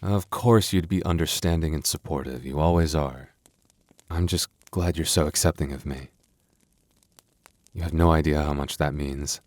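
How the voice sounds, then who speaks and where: Young male werewolf boyfriend , acted by a man: deep, soft, hesitant, protective and affectionate; intimate ASMR roleplay, dry recording. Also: normal speed, no echo.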